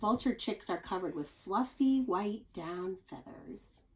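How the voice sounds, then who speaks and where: Expressive woman teacher reading aloud. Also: medium pitch, slow pace, a little hiss or hum. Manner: expressive, explanatory, with chatty asides to young listeners. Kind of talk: read-aloud of a children's nonfiction book with asides.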